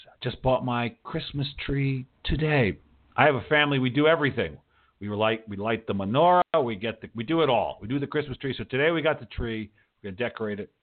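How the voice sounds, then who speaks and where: An American man hosts a radio show with a lively, swinging delivery. He talks informally, dropping in emphatic asides and echoing his own phrases. The sound is narrow and tinny, like a phone line. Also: medium pitch. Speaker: radio show host and writing teacher. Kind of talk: chatty show introduction and interview.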